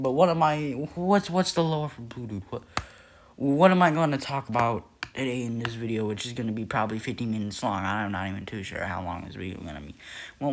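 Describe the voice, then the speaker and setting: A young man talking casually in a video, rambling with many fillers, restarts and self-corrections. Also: medium pitch. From Nepal. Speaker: youtuber. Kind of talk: casual lore video narration.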